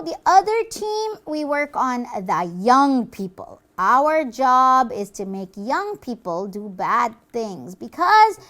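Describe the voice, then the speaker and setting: A woman's voice: high-pitched, fairly bright tone, exaggerated voice. A woman voicing a boastful, gleeful demon henchman in a puppet show, chatty and theatrical as the demon gloats. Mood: boastful, scheming.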